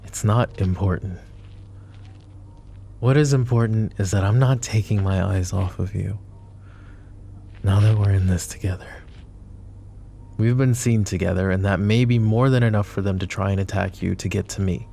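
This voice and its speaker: A possessive, flirtatious mafia boss, acted by a man in an intimate ASMR roleplay. His voice is low and very mellow, with a heavy gravelly fry. He is smooth-talking and protective, with a controlled menace under the teasing. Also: steady tone, normal speed, pauses often, slight background noise. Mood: intense, possessive.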